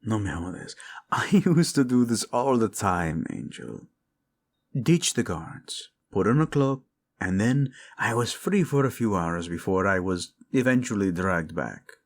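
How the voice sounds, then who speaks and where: A man acts out a devoted prince, protective and tender with his beloved. His voice is husky and moves slowly, with wide, expressive swings in pitch. The phrasing is formal yet intimate, sprinkled with Spanish endearments, in the style of a romantic audio drama. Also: heavily acted. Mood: playful, warm. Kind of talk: romantic audio roleplay acting.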